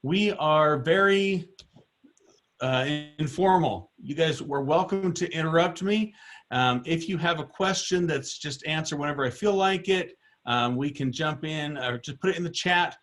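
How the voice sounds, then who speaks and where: Middle-aged male trainer on a video call, slightly hoarse, a bit higher voice, casual and rambling. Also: measured pace, fairly bright tone. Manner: informal, rambling with frequent fillers and restarts. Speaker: product trainer. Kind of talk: online training webinar.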